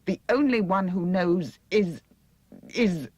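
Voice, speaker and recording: Woman putting on a high, wailing cowardly henchman creature. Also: slow, darkish tone, breathy edge, no echo. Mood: terrified stuttering. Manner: stuttering, protesting.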